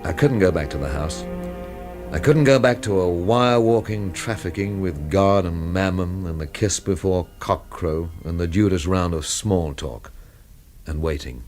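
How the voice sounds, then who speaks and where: Radio mystery lead: weary, wry man, deep voice, brooding and literary, faint music. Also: unhurried. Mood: brooding, bleak. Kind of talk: radio drama acting and first-person narration.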